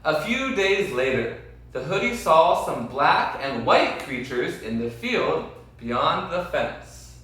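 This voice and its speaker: A man reading a children's story aloud expressively, with deliberate, dramatic phrasing and questions to his listeners. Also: a little high, very animated, bright, forceful tone. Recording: very echoey room, distant mic.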